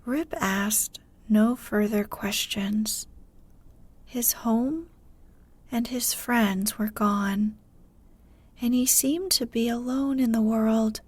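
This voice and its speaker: A woman narrating a bedtime story in a soothing, measured way. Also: fairly high pitch, slightly rough, no echo. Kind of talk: slow scripted storytelling for sleep.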